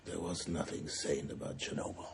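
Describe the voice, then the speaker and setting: Weary scientist, very low, hushed. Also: male voice, level tone, vocal fry. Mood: weary, resigned. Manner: resigned, halting. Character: weary, disillusioned Soviet scientist recording a secret confession. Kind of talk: confessional monologue in screen drama. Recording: faint noise, distant cassette-tape monologue.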